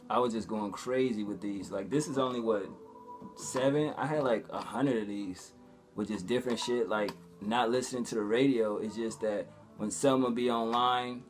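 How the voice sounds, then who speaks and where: Young adult male vlogger, medium pitch, informal and slangy, swears freely, casual conversational delivery. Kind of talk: casual vlog chat.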